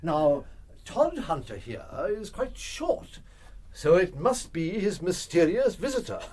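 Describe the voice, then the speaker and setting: An actor playing an eccentric, self-assured gentleman detective in a radio drama, musing aloud and making deliberate, pompous pronouncements. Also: high-pitched, sing-song, breathy edge, heavily acted. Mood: deductive, pompous. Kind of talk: full-cast radio drama acting.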